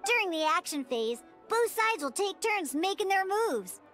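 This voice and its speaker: Squeaky, very high-pitched childlike guide. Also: female voice, very animated, unhurried, fairly bright tone, soft background music, full character voice. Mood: cheerful, instructive. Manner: perky, encouraging, exclamatory. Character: small bubbly excitable childlike girl companion and guide. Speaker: game tutorial guide. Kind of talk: animated video game character tutorial dialogue.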